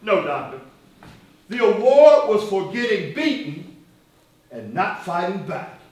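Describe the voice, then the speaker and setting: A very echoey, distant stage recording carries a male actor as a fiery male activist leader. His voice is high for a man, and he argues with defiant, sardonic emphasis, building his points like an orator. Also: very animated, slow, a little mellow, a little hiss or hum, heavily acted. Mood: defiant, indignant. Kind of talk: theatrical stage acting, rhetorical speeches. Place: stage performance, recorded from far off.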